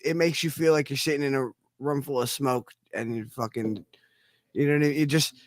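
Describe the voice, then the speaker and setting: A man's voice: mid-range voice, steady tone, fairly quick, no echo, clean studio sound. A man hosting a rap cypher, giving rambling, profane feedback full of fillers and restarts. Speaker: cypher host. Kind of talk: casual chat.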